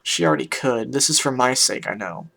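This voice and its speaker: A man plays a weary pony musician pouring out a bitter, tender story. The voice is mid-pitched with a gravelly fry, and the delivery is hushed, halting and full of feeling. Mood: tearful, confused. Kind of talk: dramatic first-person fan fiction reading.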